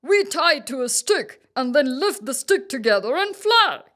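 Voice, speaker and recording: A woman voicing a friendly, breezy male stork with chirpy, drawn-out exclamations in a children's storybook. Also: high voice, very animated, a bit bright, heavily acted. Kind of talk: children's storybook character acting.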